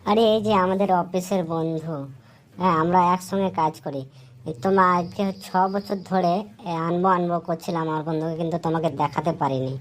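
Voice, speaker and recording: A woman's voice: on the low side, fairly even intonation, a little fast, close to an everyday voice. Cartoon husband, woman performer: doting, gullible, fussy, colloquial, repeats himself. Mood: polite. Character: gullible doting husband, adult male office worker. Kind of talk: comic Bengali cartoon dialogue.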